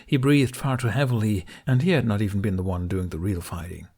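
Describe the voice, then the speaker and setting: A man's voice: fairly low pitch, steady tone, fairly quick, warm tone, breathy edge. A man reading an audiobook of fantasy fiction as a dramatic, intense narrator.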